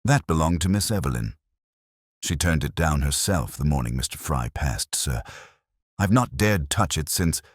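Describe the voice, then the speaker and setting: Man voicing a stiff, low-voiced housekeeper. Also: lively intonation, moderate pace, no echo, studio quality. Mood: guarded. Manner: soft, formal, guarded. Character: deferential, stiff, stony-faced female housekeeper. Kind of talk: audiobook character dialogue.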